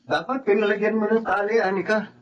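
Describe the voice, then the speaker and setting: A man's voice: high-pitched, steady tone, brisk, highs cut off, natural voice. A man acting a needling, mocking office colleague in a Filipino TV drama, with a Filipino accent. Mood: teasing.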